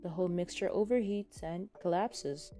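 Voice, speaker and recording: A mischievous young male science whiz read by a woman in a fan fiction story. The tone is mellow and curious, explaining things in a low-key way. Gentle music plays behind. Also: moderate pace, natural voice. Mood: keen explaining.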